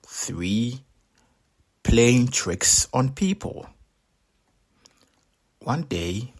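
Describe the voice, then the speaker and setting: A man reading a children's story aloud as a storyteller, with expressive, deliberate and dramatic delivery. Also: lowish voice, vocal fry, slightly rough.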